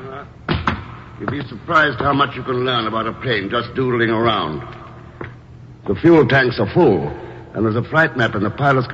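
An actor playing a suave, unflappable secret agent in a 1950s radio drama, dry and understated in manner. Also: male voice, mid-range voice, expressive, moderate pace, long pauses, natural voice. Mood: wry, composed. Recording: muffled, noisy old radio broadcast.

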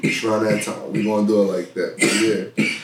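A man's voice: on the low side, brisk, a little hiss or hum. Young Philly man, distant and echoey. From Philadelphia. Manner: slangy, animated, laughing. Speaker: guest rapper. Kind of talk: casual chat with friends. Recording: echoey room, heard from afar.

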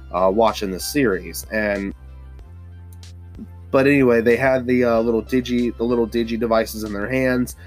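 Stuffy-nosed man rambling casually. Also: mid-range voice. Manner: rambling, many fillers and restarts. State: stuffy nose. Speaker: podcast host. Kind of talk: casual solo podcast talk. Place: hot humid home studio with fans running.

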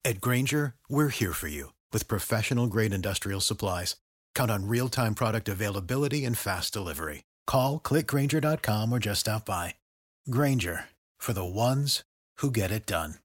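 Low, dry male ad announcer. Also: lively intonation, moderate pace, dry recording. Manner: smooth, confident sales read. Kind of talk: scripted commercial voice-over.

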